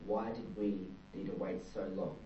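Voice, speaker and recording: A man's voice: low-pitched, fairly even intonation, moderate pace, mellow. A young Australian man giving a thoughtful, searching personal account as an interviewee. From New South Wales, Australia. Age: young adult. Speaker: interviewee, performing arts student. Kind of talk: reflective personal testimony. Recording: distant, dull, roomy with faint hum.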